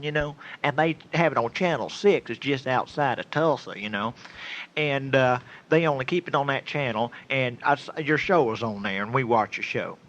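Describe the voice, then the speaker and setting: An actor playing a cocky, folksy small-town game show contestant in a comic radio drama, with an American accent and a rambling, stammering delivery. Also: male voice, a little high, a bit bright, lo-fi sound, natural voice.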